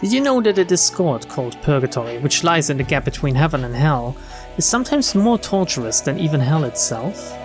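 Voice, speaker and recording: A man's voice: fairly high pitch, very animated, fairly quick. A man streaming a game, reading visual novel narration and dialogue aloud in a dramatic storytelling style. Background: game music.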